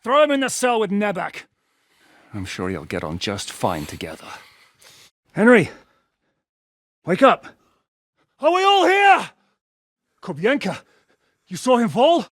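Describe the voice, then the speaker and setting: An actor playing a gruff, battle-hardened rebel commander in a video game, barking orders, swearing and mocking his foes. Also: high voice, huge pitch swings, measured pace, pauses often, fairly bright tone, no echo, studio quality, exaggerated voice. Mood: commanding.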